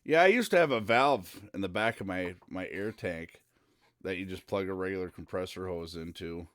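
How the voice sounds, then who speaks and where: Male streamer, low smooth voice, easygoing and rambling. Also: normal speed, no room sound. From central Minnesota. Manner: rambling and easygoing, with frequent "uh" fillers. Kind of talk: casual live-stream chat.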